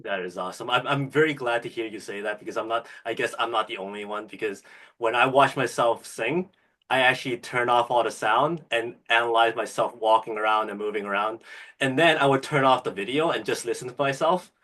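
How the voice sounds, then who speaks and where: A man hosting a podcast interview, friendly and halting, often repeating words as he starts. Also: mid-range voice, sharp tone. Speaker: podcast host. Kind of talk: casual interview.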